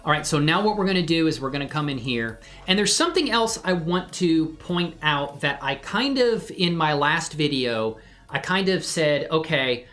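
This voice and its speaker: A woman hosting a live software tutorial, chatting casually and thinking aloud as she demonstrates. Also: deep voice, lively intonation, normal speed. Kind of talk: casual tutorial livestream chat. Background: music playing behind the voice.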